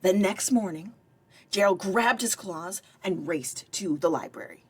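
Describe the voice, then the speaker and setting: Woman reading a children's story, slightly husky. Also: medium pitch, measured pace. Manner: expressive, with chatty asides to young listeners. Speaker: library director and former children's librarian reading aloud. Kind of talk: children's story-time read-aloud.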